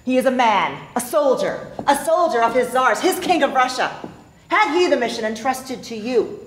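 A stage actress in her thirties playing a mysterious, teasing foreign lady with formal, deliberate phrasing. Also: high-pitched, lively intonation. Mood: proud, passionate. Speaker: stage actor. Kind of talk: live theatrical stage acting. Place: theater stage, indoors. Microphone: distant camera. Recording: echoey theater stage, faint hiss.

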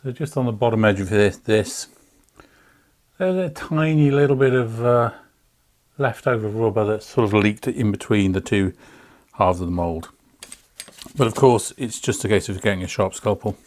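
A man's voice: mid-range voice, a little mellow. A middle-aged man talking casually and methodically through a hands-on repair, step by step, at an indoor workbench. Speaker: repair video host. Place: indoor workshop bench.

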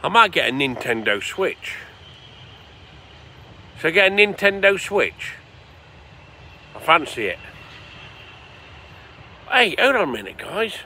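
A man from England chats to his viewers in a loose, rambling, sweary way. His voice is gravelly with a bright, forceful edge. He streams outdoors at night by the seaside. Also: fairly high pitch, sing-song, slow pace, long pauses, a little hiss or hum. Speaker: vlogger. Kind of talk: casual rambling livestream chat.

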